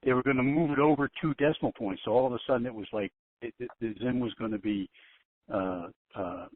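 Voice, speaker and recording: A man giving informal commentary as a panelist on a telephone conference call, haltingly and repeating his phrases. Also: medium pitch, no room sound. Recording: narrowband tinny phone line.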